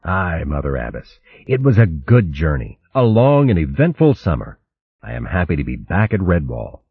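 Storybook, earnest warrior mouse, man reading, low husky voice, dry muffled recording. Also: very animated, normal speed, dry recording, exaggerated voice. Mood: respectful. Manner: earnest, respectful. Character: steadfast warrior mouse, respectful.

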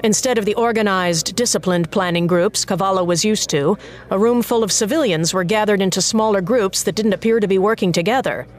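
A woman narrating an audiobook in an even, measured storytelling manner. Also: mid-range voice.

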